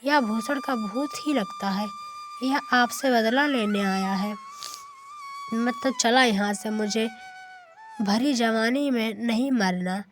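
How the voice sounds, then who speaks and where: A woman's voice: on the high side, big pitch swings, takes it slowly, over music. A woman voicing an obsequious, cowardly young manservant in a cartoon story. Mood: panicked.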